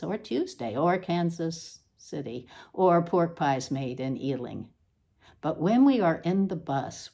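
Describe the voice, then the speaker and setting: A woman reading a scripted literary essay aloud with deliberate, theatrical emphasis. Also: fairly low pitch, mellow. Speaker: reader.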